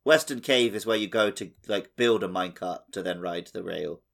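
Lowish-voiced man chatting casually. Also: moderate pace, vocal fry, a bit bright. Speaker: podcast co-host. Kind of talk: casual gaming discussion.

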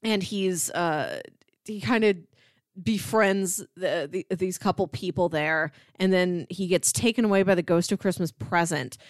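A woman co-hosting a podcast, casually discussing a film in an animated storytelling way. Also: lowish voice, a little slow, no room sound, studio quality. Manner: animated storytelling, acts out characters' lines. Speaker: podcast co-host.

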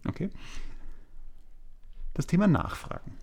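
A man's voice: measured pace, a little mellow. A man hosting a podcast, asking long rambling questions and summarizing the answers. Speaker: podcast host, managing director. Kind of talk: podcast interview.

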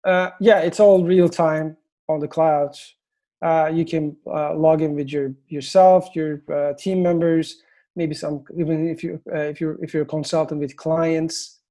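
A man's voice: on the high side, level tone, a little slow, dark tone. A man from Turkey with a Turkish accent, a company founder answering interview questions with many fillers and restarts. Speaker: agency founder, guest.

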